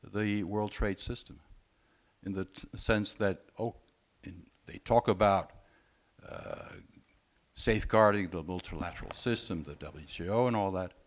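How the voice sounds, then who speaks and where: A man speaking as a panelist at a conference discussion, measured and often hesitating. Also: sits low. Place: conference room.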